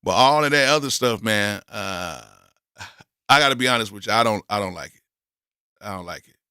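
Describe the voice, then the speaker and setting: A man hosting a live stream, reviewing beats in casual, blunt commentary, hoarse from yelling earlier and full of exclamations. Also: fairly low pitch, expressive, normal speed, no echo, studio quality. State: hoarse, losing his voice from yelling.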